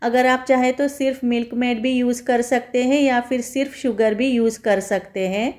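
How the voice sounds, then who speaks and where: A woman's voice: on the high side, fairly even intonation, normal speed, a little mellow. A woman home cook giving a step-by-step recipe voice-over, instructing viewers in a friendly, familiar form of address.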